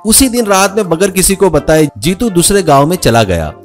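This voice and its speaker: A male storyteller narrates a children's cartoon tale. His voice sits a bit high and moves around in a very lively way, with music playing behind it. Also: normal speed.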